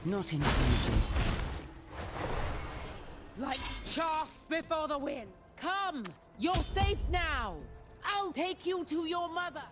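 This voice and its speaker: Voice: high voice, sing-song, slow pace, pauses often, over loud music, full character voice. An actor voicing a resolute, righteous holy crusader hero in a video game, stern and protective in delivery. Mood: righteous, commanding.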